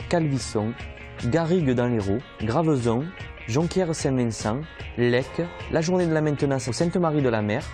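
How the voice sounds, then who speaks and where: A man reading a scripted voice-over announcement, listing places in an even, steady way. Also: mid-range voice.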